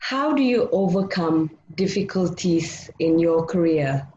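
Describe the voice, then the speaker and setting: Interviewer with a mellow voice, heard through a dull, lo-fi, off-mic recording, brief encouraging replies. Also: medium pitch, lively intonation, unhurried, a little hiss or hum. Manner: brief encouraging responses, polite questioning. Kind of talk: interview questions and backchannel.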